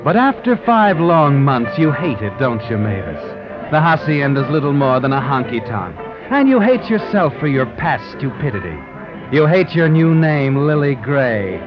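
An actor playing a needling, sardonic crime-tale narrator in dramatic 1940s radio suspense style. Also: male voice, medium pitch, very animated, normal speed, natural voice. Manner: dramatic second-person taunting. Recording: muffled old radio recording over music.

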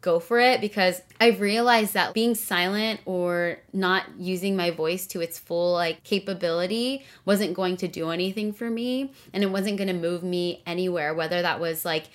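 A woman's voice: on the low side, steady tone, normal speed, hardly any pauses. A young woman talking casually and earnestly as a podcast guest, with many fillers. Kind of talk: casual interview conversation.

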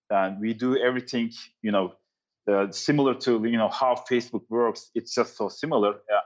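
A man from Turkey with a Turkish accent, answering interview questions in a halting way with many fillers. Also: fairly low pitch, dull audio. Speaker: guest, company founder.

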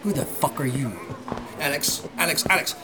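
An actor playing a brash, self-important talk show journalist in a sci-fi audio drama, speaking insistently and with exasperation. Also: male voice, on the high side, normal speed, natural voice. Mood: indignant. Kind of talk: full-cast sci-fi audio drama acting. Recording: music behind the voice.

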